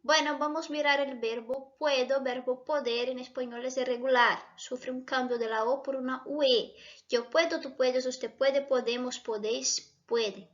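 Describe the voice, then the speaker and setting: A woman's voice: sits high, steady tone, normal speed, highs cut off. A Brazilian woman whose first language is Portuguese, teaching Spanish verbs in an online lesson with a Brazilian accent, explaining patiently and putting questions to her viewers.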